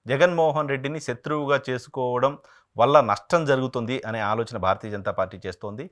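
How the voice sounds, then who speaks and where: Fast-talking man giving political commentary. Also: mid-range voice, a bit bright. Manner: emphatic, repeats phrases for stress, rhetorical questions. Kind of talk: political analysis monologue to viewers.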